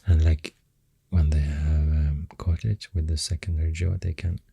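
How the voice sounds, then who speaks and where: A soft-spoken ASMR host with a Swedish accent, rambling gently through facts in a hushed, breathy, whispery voice with unhurried pauses, close to the microphone. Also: very deep voice, little pitch movement, no room sound. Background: pencil writing and clicking sounds.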